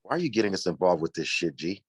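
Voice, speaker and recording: An actor playing a tough, impatient young male hustler in a screenplay table read, confrontational and slangy, with a husky voice. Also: low voice, sing-song, fast talker, no echo, clean studio sound, exaggerated voice. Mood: angry, pressing.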